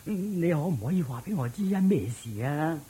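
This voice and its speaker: An actor playing an anxious, deferential old family servant in a radio drama, with a raspy, creaky voice and a stammering, sighing way of talking. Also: male voice, on the high side, lively intonation, normal speed, mellow. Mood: coaxing, worried. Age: older.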